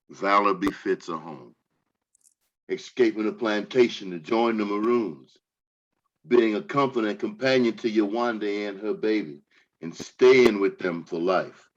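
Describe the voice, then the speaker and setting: Man performing proud grandfather spirit, dignified stage monologue, fairly low voice, lo-fi muffled sound. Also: a little hiss or hum, lightly acted. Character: proud, protective grandfather spirit, former slave and soldier. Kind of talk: staged play reading, monologue. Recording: dull, muffled lo-fi audio.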